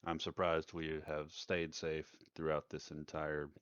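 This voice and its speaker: Low-voiced man on a dull mic. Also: monotone, measured pace, a bit bright, clear, resonant tone, no echo. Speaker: player in a tabletop roleplaying game. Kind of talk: casual in-character tabletop game discussion.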